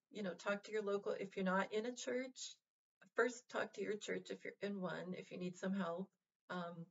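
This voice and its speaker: A woman hosting a vlog, talking to her viewers in a casual, heartfelt way, gentle and reassuring, with lots of fillers and restarts. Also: medium pitch, muffled recording. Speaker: vlog host.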